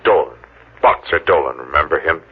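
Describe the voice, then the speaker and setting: An actor playing a vengeful, maimed man who taunts his betrayer in a sinister, deliberate way, in an old-time radio thriller. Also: extremely low pitch, husky. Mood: taunting. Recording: muffled, noisy old radio recording.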